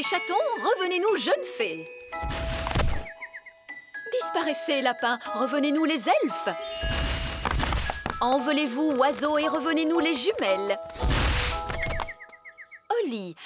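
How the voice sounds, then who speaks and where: High-pitched, fussy fairy nanny. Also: female voice, lively intonation, over loud music. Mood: brisk, bossy. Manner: brisk, bossy, theatrical exclamations. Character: fussy, imperious fairy nanny, proud and flustered. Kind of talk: French cartoon dub.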